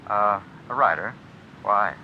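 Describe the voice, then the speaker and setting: An actor in an old radio drama playing an anxious editor being blackmailed, halting, nervous and evasive. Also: male voice, lowish voice. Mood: evasive, jumpy. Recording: dull, noisy old radio recording.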